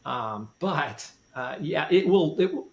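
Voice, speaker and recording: A man's voice: a little high, lively intonation, slow, lo-fi sound. Man explaining casually in an echoey room. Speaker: podcast guest with a music background. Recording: roomy, echoey sound.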